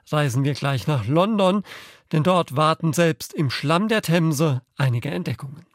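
A man's voice: fairly high pitch, no echo, studio quality. A man presenting a radio magazine show, reading scripted links between reports.

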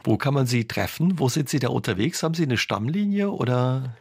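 A male radio host leads an interview, asking friendly questions and adding brief encouraging interjections. His voice sits in a middle register with a husky edge. The studio sound is dry and close. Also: mid-range voice, lively intonation, fairly quick, no echo.

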